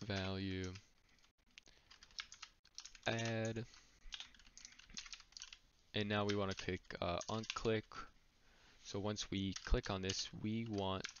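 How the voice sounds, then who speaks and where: A man explaining code as he types, thinking aloud with small slips. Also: lowish voice. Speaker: coding tutor. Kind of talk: screen-recorded coding walkthrough. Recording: dull lo-fi mic with noise. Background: keyboard typing and clicks.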